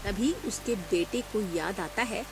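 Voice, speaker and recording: A woman narrating a children's cartoon story in a clear storytelling way. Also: mid-range voice, slow. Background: background music.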